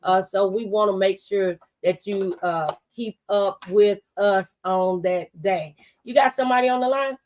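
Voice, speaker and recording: A woman's voice: mid-range voice, a little slow, a little mellow. A woman hosting a faith-based radio talk show, speaking in an encouraging, preacherly manner.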